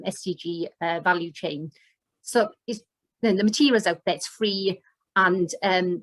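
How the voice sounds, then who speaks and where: A woman's voice: medium pitch, dry recording. A middle-aged woman with a Welsh accent presenting an online teacher course over slides on a video call, enthusiastic and loose, with many fillers and restarts. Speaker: educator and presenter. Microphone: webcam on video call.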